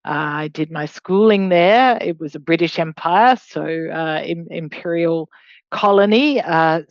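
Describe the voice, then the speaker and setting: A woman's voice: fairly low pitch, a little slow, no room sound, lo-fi sound. A middle-aged Australian woman giving an academic webinar lecture in a conversational, explanatory way, with hedging asides. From Australia, raised in Hong Kong. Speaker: law professor, guest lecturer.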